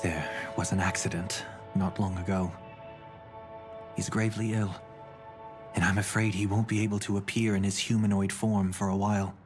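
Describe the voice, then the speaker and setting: Guy voicing serious big brother type from a video game, low voice, formal n composed, music clearly behind him. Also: flat delivery, moderate pace, lightly acted. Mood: grave, measured. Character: composed, protective, earnest older brother and family leader. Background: game music under the voice.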